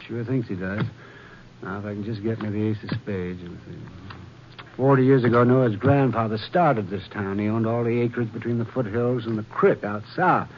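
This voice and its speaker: An American actor playing a chatty, gossipy small-town hotel clerk with rustic, rambling speech in a 1950s radio western. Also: male voice, on the low side, fairly even intonation, normal speed, lightly acted. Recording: dull, faintly noisy vintage radio recording.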